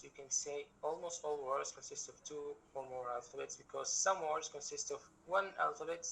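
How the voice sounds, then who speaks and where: A young man from Egypt teaches an online workshop over a video call. His voice is bright and forward, and he explains patiently, circling back and restarting as he works through his examples. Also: medium pitch, creaky, rough recording. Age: young adult. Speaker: guest language teacher.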